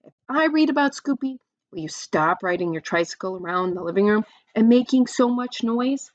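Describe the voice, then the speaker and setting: A woman's voice: fairly high pitch, lively intonation, moderate pace, no room sound, natural voice. A woman voicing a put-upon, pleading nine-year-old big sister from a storybook, on a dull recording. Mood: resigned bargaining.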